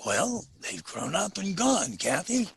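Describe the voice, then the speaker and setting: An actor playing a kindly, folksy old storekeeper in a family radio drama, with a raspy voice and a wistful streak. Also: male voice, high voice, sing-song, slow pace, a bit bright, heavily acted. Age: older. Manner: folksy, hearty.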